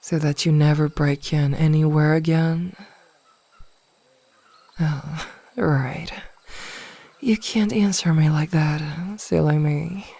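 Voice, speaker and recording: A low, velvety-dark female mage played by a woman in a hypnotic ASMR fantasy roleplay, possessive and smugly sweet. She takes her time with every phrase, coaxing and often whispering, very close to the microphone. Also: steady tone, takes it slowly, creaky, no echo, heavily acted. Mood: coaxing, commanding. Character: possessive, smugly teasing adult female mage toying with a captive burglar. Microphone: close microphone, dry.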